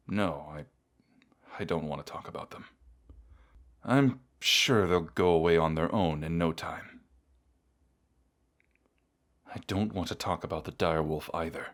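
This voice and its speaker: A man playing a proud, bratty wounded knight with a haughty, grumbling manner in a fantasy audio roleplay. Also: sits low. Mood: defensive. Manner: haughty, grumbling, reluctantly vulnerable. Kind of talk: scripted audio roleplay addressed to the listener.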